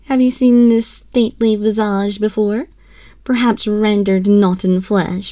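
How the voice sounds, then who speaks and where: A woman's voice: medium pitch, mellow, dry recording. A woman playing a haughty, mocking empress in an ASMR roleplay, with imperious, condescending and theatrically formal delivery, close on a binaural microphone. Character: haughty, cruel, mocking empress toying with a prisoner. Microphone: close binaural microphone, dry.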